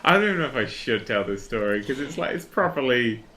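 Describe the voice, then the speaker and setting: A man's voice: medium pitch, lively intonation, fast talker, pushed and bright. A man telling stories casually and comically as a livestream co-host, swearing and restarting his phrases. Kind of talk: casual comic storytelling and banter.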